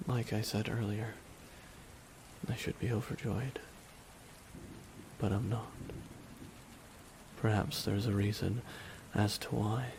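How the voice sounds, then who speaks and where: Casting: male actor as a regretful supervillain for ASMR drama, lowish voice, solemn, deliberate and heavy with grief, rain in the background. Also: gravelly, a little mellow, a little hiss or hum, low-quality recording. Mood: resigned, solemn. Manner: slow, deliberate, brooding monologue with long pauses. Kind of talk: dramatic ASMR roleplay monologue. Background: rain falling.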